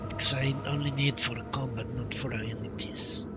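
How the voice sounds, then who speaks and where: A man's voice: mid-range voice, steady tone, moderate pace. A man doing casual live gameplay commentary, thinking aloud and muttering to himself, with some swearing. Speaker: live streamer playing a video game. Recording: game music behind the voice. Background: video game music.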